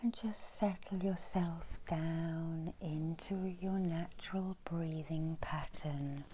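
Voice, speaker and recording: A middle-aged woman whispering a soothing, breathy ASMR guided meditation as a healer, softly giving instructions. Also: sits low, fairly even intonation, slow, slight background noise. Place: small indoor room with folding screens.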